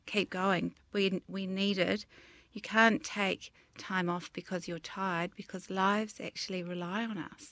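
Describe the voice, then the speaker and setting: A middle-aged woman with a New Zealand accent speaks in a low voice with a fairly flat, level melody. She talks earnestly about her work, picking her words and rephrasing as she goes, and the recording is dry and close. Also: no echo. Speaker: guest, designer and business owner. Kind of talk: interview conversation.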